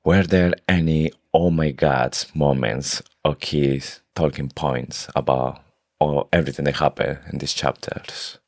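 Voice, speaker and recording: A podcast co-host casually discussing books, with halting, non-native phrasing. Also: very deep voice, fairly even intonation, no room sound, clean studio sound.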